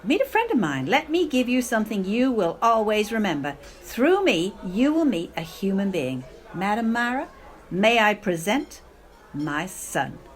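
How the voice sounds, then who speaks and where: A woman's voice: medium pitch, very animated, unhurried. A woman acting a melodramatic, self-pitying, clinging middle-aged mother in a radio play, with theatrical, affected speech. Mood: gushing, affected. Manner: theatrical, affected, sprinkles in foreign phrases.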